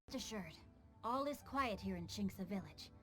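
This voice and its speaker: A woman's voice: medium pitch, animated, normal speed, soft background music, lightly acted. A woman playing a young, composed, determined official in a video game, speaking in a formal, commanding way. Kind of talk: animated video game acting.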